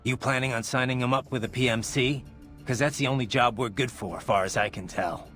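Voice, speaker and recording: An actor playing a grim, brooding male cyborg mercenary in a video game, with a gravelly voice and a terse, dry, sardonic way of talking. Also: medium pitch, a little fast, a bit bright, faint music behind, natural voice. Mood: sober, dry.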